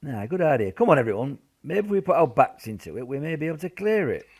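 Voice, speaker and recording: Gravelly old grandad, man acting, very up and down, stammers and repeats, sheepish, wartime radio play. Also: on the high side, big pitch swings, fast talker, a little mellow. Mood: exasperated. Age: older. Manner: hesitant, stammering, repeats himself. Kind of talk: amateur radio drama acting.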